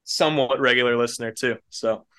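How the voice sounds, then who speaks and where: A young man co-hosting a podcast, dropping quick joking asides into casual chat. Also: medium pitch, sharp tone, clear, resonant tone. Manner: jokey interjections, quick asides. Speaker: podcast co-host.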